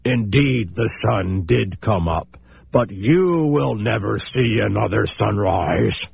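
A man voicing a big proud male bear who chants slowly and threatens. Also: expressive, vocal fry, a little hiss or hum, exaggerated voice. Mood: menacing. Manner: deep slow chanting.

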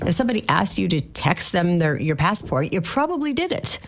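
A woman from Canada runs the interview with a low, gravelly voice. She keeps it chatty and encouraging and asks long questions. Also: sing-song, fairly quick, slight background noise. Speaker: realtor and interviewer. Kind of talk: conversational interview.

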